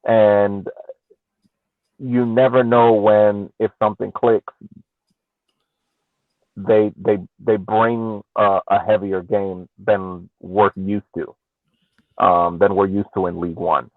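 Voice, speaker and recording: A man with a fairly low voice comes through tinny, phone-like audio with a very dry sound. He offers casual sports analysis on a podcast, halting and repeating words as he goes. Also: little pitch movement, no echo. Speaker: podcast co-host.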